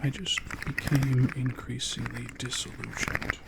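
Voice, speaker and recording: A man speaking in a soft, breathy whisper, chatting casually and low-key as an ASMR host. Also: little pitch movement, measured pace, heavy background noise. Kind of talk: soft-spoken ASMR, rambling casual chat.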